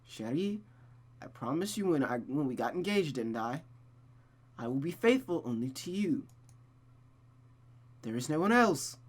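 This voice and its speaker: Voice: mid-range voice, sing-song, unhurried, pauses often. A performer voicing an exasperated, weary man arguing in a visual novel. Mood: weary, pleading.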